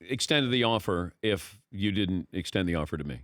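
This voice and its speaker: A middle-aged man hosting a sports talk show, interviewing his guest in a playful, teasing way with quick questions. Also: sits low, lively intonation, fairly bright tone, no echo, studio quality. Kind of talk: casual interview chat. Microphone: studio mic.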